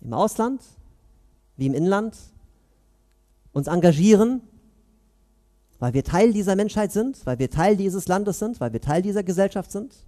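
Man, 30s, imam's Friday sermon; earnest, questioning delivery, high voice, headset mic. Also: animated, mellow. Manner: earnest, questioning, pauses between phrases. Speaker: imam giving Friday sermon. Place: mosque prayer hall, indoors.

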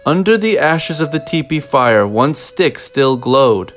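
A man reading a children's picture book aloud in an expressive way, with deliberate, dramatic phrasing. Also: fairly high pitch. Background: music.

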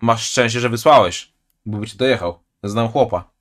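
A man's voice: mid-range voice, normal speed, a bit bright. A male streamer comments at home on a video he is watching. He turns sarcastic and keeps stammering and restarting his words. His voice sits in the middle of the male range. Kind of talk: casual reaction commentary.

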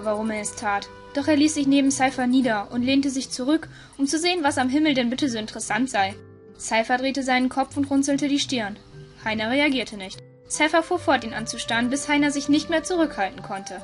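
A woman narrator reading a scripted audio story. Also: on the high side. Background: music.

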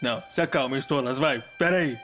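A man's voice: medium pitch, quick pace, music in the background, close to an everyday voice. A man with a Brazilian accent voicing a crude, volatile little demon imp in a dubbed adult cartoon, brash and emotional. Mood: anxious, pleading. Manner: brash, foul-mouthed, emotional.

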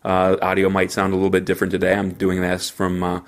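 A man's voice: low-pitched, monotone, fast talker. A man hosting a solo podcast from a hotel room, with a stuffy head cold and a rambling delivery full of fillers and restarts. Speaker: podcast host. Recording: slightly echoey hotel room.

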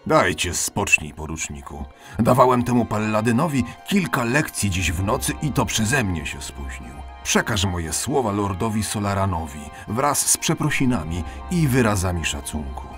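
Man playing a deep-voiced old elf captain. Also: big pitch swings, normal speed, fairly bright tone, soft background music. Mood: commanding. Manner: authoritative, melancholy. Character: weary, proud old male elf veteran captain. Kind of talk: Polish fantasy audio drama.

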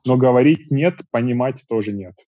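A man in his thirties, an interview guest, chatting casually in long, relaxed stretches from a small room at home over earbuds on a video call. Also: mid-range voice, normal speed, dry recording. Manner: relaxed, talks in long rambling stretches with fillers. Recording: dull dry video call audio.

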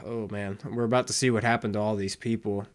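A man's voice: fairly low pitch, steady tone, fast talker. Young man reacting casually. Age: young adult. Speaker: reaction video host. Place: small room indoors. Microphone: desk microphone with headphones.

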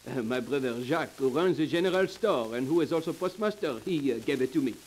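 An actor playing a polite, eager French-speaking backwoods man with a French accent and halting, deferential delivery in a 1940s radio drama. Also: medium pitch, expressive, a little mellow, close to an everyday voice. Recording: hissy crackling 1940s radio recording.

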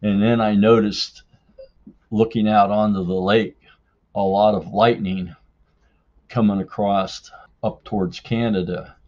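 A man tells a story in a fairly low voice, taking his time between phrases. His manner is casual and folksy. The sound is dull and lo-fi, like a voicemail message. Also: fairly even intonation, unhurried, pauses often. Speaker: podcast listener leaving a voicemail story.

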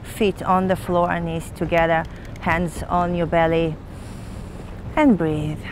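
A middle-aged woman calmly guiding a stretching session as a yoga instructor, pausing between cues, outdoors on a boat. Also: lowish voice. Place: outdoors on a sailboat deck at sea. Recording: windy outdoor boat noise.

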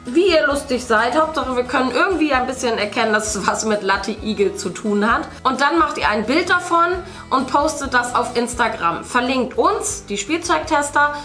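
A woman in her thirties with a fairly high voice talks casually to children watching her video. She explains things directly to them as a family channel host. The room adds clear echo, with some music behind her. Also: animated, normal speed, few pauses, fairly bright tone. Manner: speaks to children, addressing viewers directly. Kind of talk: casual chat, explaining to viewers while painting. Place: indoor room at a table. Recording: roomy sound, faint music.

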